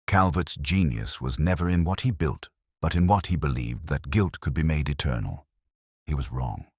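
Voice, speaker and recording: Grave philosophical detective played by a male narrator, very low, deliberate, expressive pitch, for mystery audiobook. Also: breathy edge, no echo, studio quality, heavily acted. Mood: grave, final. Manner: deliberate, aphoristic, solemn.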